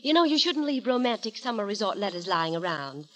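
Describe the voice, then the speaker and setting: A woman's voice: medium pitch, big pitch swings, brisk, fairly bright tone, muffled recording. Sassy, teasing young woman. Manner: sassy, breezy wisecracking. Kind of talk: 1930s radio comedy acting. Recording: vintage 1930s radio broadcast.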